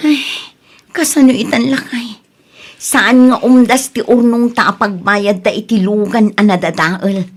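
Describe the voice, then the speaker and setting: High voiced ilocano wife woman acting it out, worried and naggy but practical, domestic radio drama. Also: fairly even intonation, unhurried, soft background music, close to an everyday voice. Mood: resigned, practical.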